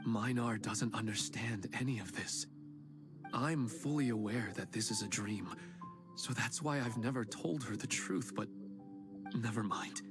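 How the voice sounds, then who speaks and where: Man voicing humble middle-aged father, earnest, gentle, plain-spoken, music behind. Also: on the low side, measured pace, natural voice. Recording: game audio with music behind.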